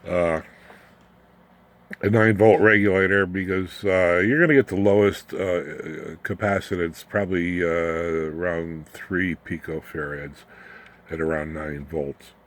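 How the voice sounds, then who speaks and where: A man's voice: deep voice, steady tone, measured pace. A man presenting an informal hands-on electronics demonstration in a folksy, rambling, plain-spoken way. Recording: clear background noise, room ambience.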